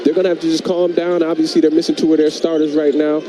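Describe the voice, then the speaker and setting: A man's voice: a little high, level tone, fast talker. A man giving live basketball analysis as a radio color commentator. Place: broadcast booth in a sports arena. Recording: clear background noise from arena broadcast.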